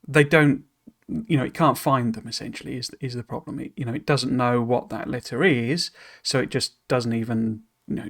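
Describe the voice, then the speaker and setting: A man of middle pitch reads a developer blog aloud and breaks off into relaxed explanations. His talk is hesitant and rambling, with many "you know"s, restarts and apologetic stumbles over foreign words. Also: creaky. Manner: explains in loose asides full of "you know". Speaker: streamer reading a game dev blog. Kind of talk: reading aloud then explaining casually.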